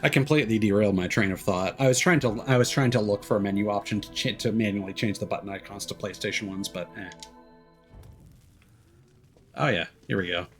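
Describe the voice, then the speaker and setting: A man giving casual live game commentary, rambling and thinking aloud with hesitant pauses. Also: lowish voice. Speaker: game streamer. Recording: game music behind the voice. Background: game music.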